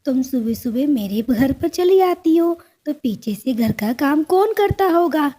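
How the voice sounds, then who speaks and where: A woman's voice: high-pitched, lively intonation, measured pace, warm tone. A woman voicing a nosy, gossipy female bird neighbour in a cartoon, full of questions. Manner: inquisitive. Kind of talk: cartoon story dialogue.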